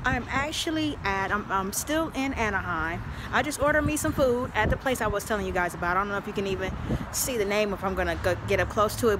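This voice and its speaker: A woman's voice: medium pitch, background noise. A woman in her thirties chatting casually into her phone as she tastes and reviews food in a busy restaurant. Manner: chatty, savoring, describes food aloud. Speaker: food vlogger. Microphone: phone camera held close.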